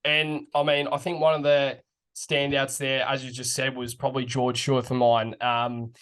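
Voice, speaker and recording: Young Australian man, podcast co-host, slightly croaky, casual and rambling. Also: medium pitch, normal speed. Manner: relaxed, swears casually, many fillers. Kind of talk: casual sports chat.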